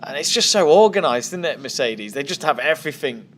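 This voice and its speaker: A man's voice: on the high side, expressive, brisk, a bit bright, a little hiss or hum. A man, a podcast guest giving joking, rambling opinions in casual chat.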